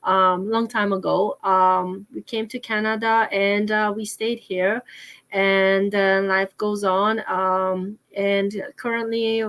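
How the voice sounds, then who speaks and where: A woman's voice: medium pitch, fairly bright tone. A middle-aged woman from Poland, an author answering interview questions with many fillers and restarts. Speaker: author and guest.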